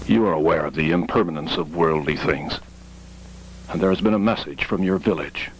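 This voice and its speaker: An extremely deep, grave senior priest, played by a man in a dubbed animated film. He speaks solemnly and with measured weight over a faint static hum. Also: close to an everyday voice, rough recording.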